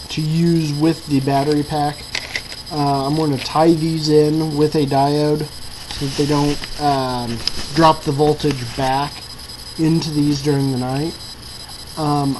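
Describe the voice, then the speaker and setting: A woman giving a casual, improvised walkthrough of a hobby project, hesitant and thinking aloud. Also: sits low. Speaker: hobbyist maker showing an electronics project. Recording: clearly noisy with steady mains hum.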